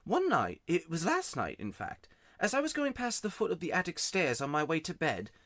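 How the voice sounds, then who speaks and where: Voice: wildly swooping pitch, normal speed, fairly bright tone, dry recording. A storyteller voicing an upset young schoolboy who talks in a raised, pleading voice. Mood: eager. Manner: pleading, worked up, raised pitch. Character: grubby, miserable schoolboy, tearful and excitable. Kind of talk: audiobook character voice.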